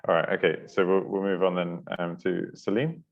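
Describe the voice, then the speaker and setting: A man's voice: sits low, little pitch movement, normal speed. A native English-speaking man moderating an online workshop, hesitant and apologetic with many fillers. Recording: dull lo-fi call audio.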